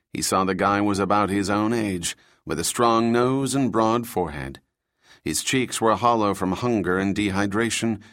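A man narrating a thriller audiobook in a steady, even reading voice. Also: on the low side, no room sound, clean studio sound.

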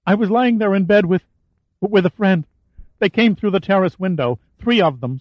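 A man's voice: high-pitched, no echo, voice pushed up, natural voice. A male narrator voicing a frightened young woman who pleads in a breathless, panicky way. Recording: dull, muffled dry recording.

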